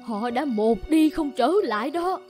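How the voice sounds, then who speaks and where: A woman's voice: sits high, lively intonation, measured pace, a little mellow, soft background music. A woman voicing a stern, worried middle-aged village mother in a cartoon, scolding and lamenting. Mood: pleading, sad. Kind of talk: cartoon voice acting.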